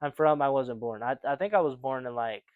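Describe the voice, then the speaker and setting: Rambling young man, smooth dry voice. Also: medium pitch, a little fast, dry recording, narrow, tinny audio. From United States. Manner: rambling, many fillers and restarts. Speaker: podcast co-host. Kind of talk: casual chat.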